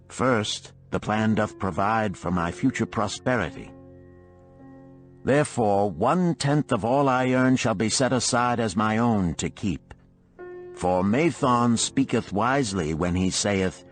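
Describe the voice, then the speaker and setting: Resolute freed slave of antiquity read by a male narrator, low register, solemn, declamatory, deliberate, audiobook parable.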